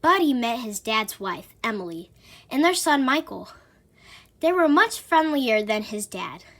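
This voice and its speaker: A woman narrates a children's picture book aloud. Her voice is high, with animated rises and falls as she tells the story. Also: measured pace. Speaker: storybook reader.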